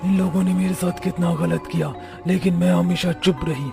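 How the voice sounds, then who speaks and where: A woman playing the vengeful, protective ghost of a murdered daughter-in-law in a dramatic cartoon, with a creaky, gravelly voice. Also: on the low side, fairly even intonation, normal speed. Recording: music behind the voice. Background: clearly audible music.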